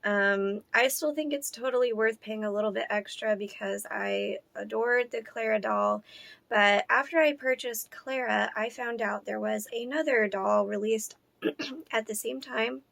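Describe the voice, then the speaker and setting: A hoarse woman in her thirties talks casually through an unboxing. Her voice has a gravelly fry, and she fills her sentences with ums, likes and restarts. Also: on the high side, unhurried, fairly bright tone, no echo. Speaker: doll collector vlogger.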